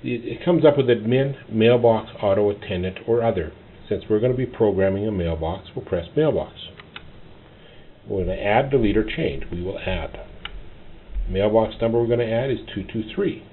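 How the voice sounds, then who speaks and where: A man narrating a step-by-step tutorial as an instructor, often in short, halting instructional phrases. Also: lowish voice. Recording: tinny phone-like audio, clear noise.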